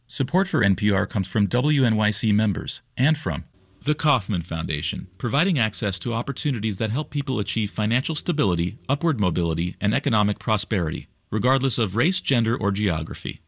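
Low, gravelly male announcer. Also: dry recording, clean studio sound. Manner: measured, even read. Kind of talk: scripted sponsor read. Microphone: studio mic.

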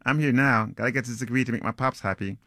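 A man's voice: mid-range voice, fast, sharp tone, no room sound, clean studio sound, pitched above the performer's own voice. A man reading a novel aloud, voicing a cocky young American jazz musician with slangy, playful, teasing talk. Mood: breezy teasing. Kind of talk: novel reading, acted dialogue.

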